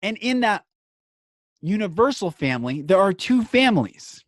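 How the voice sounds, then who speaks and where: A man hosting a podcast, speaking in an earnest, emphatic inspirational monologue broken into short phrases with dramatic pauses. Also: sits high, big pitch swings, dry recording, clean studio sound.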